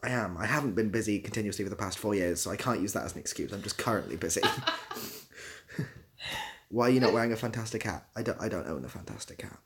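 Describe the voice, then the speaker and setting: Young man, low voice, casual livestream host, dry self-deprecating humour, rambling replies to chat. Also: expressive, quick pace, a little hiss or hum. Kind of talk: casual livestream chat, reading out viewer comments.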